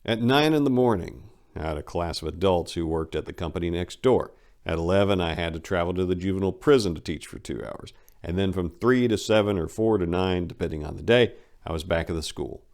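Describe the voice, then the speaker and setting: A man with a fairly low voice narrates a memoir as a dramatic reading. His delivery is theatrical and emphatic, in the role of a host reading to his audience. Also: fairly quick, a little mellow. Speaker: channel host and reader.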